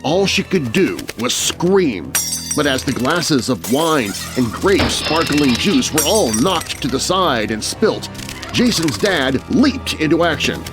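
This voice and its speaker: Guy reading a spooky kids story, dramatic and very animated, music underneath. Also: fairly high pitch, takes it slowly. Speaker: narrator of a children's horror story podcast.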